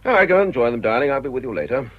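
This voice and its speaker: An actor playing an urbane, testy middle-aged British husband, clipped and commanding, in a radio drama. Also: male voice, medium pitch, animated, rapid-fire, natural voice. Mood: brisk. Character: urbane, testy, image-conscious husband turned amateur sleuth. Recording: dull, noisy radio drama recording.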